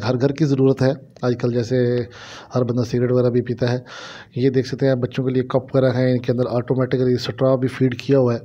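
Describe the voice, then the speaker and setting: A man's voice: mid-range voice, warm tone. A man with a Pakistani accent narrates a casual shopping vlog, explaining things repetitively to viewers into a handheld phone in a small shop. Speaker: vlogger showing shop goods. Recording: dull, lo-fi phone camera audio.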